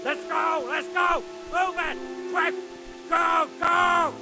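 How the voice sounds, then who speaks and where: Castle guard, video game, shouted commands, extremely high monotone pitch, urgent, music behind. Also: male voice, slow, full character voice. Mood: urgent, shouting.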